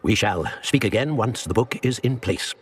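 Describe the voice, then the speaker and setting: A man playing an aged, grave and courteous wizard professor in a video game, speaking formally with a husky, grainy voice. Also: on the low side, lively intonation. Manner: formal, measured, old-fashioned. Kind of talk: video game character acting.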